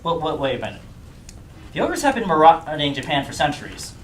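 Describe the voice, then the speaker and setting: A man's voice: fairly high pitch, big pitch swings, fairly quick, distant mic, slight background noise. A man telling a comic tale at a live puppet show, theatrical and joking. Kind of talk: animated comic storytelling to an audience. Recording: echoey room, music behind.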